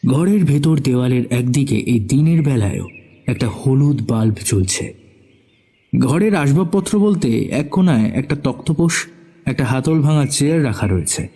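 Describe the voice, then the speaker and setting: A man's voice: medium pitch, expressive, normal speed, mellow, slightly rough. A man giving dramatic scripted narration of a horror story. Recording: background music under the voice.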